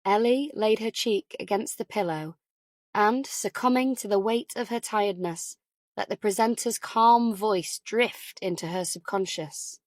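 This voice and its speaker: A woman's voice: mid-range voice, a little slow, no room sound. Woman narrating a thriller audiobook, dry studio sound. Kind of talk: scripted thriller novel narration.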